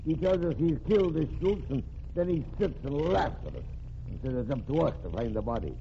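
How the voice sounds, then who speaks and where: An actor playing a gruff, blunt police officer in 1940s radio drama style. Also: male voice, on the high side, expressive, fairly quick, mellow. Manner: blunt, gruff working-class talk. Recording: dull lo-fi old radio recording with faint hiss.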